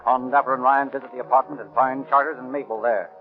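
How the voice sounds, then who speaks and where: A man's voice: mid-range voice, fairly even intonation, fast. A man narrating a 1930s radio crime drama in a formal, clipped style. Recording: tinny vintage 1930s radio recording, music.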